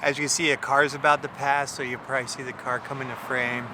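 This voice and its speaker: A man's voice: medium pitch, little pitch movement, quick pace, heavy background noise. A man giving casual, off-the-cuff vlog updates to camera while outdoors at night. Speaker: video creator in a filming challenge. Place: outdoors at night near a road.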